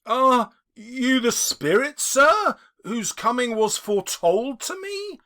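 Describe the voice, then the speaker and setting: A man voicing a startled, excitable old miser in a storybook reading. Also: very high-pitched, slow pace, pitched above the performer's own voice, exaggerated voice. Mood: anxious, questioning.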